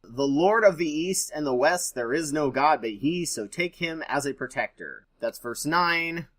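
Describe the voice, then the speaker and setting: A man's voice: on the high side, animated, measured pace, a bit bright. A man reading aloud and adding casual, skeptical and irreverent off-the-cuff commentary. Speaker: host reading and commenting on scripture.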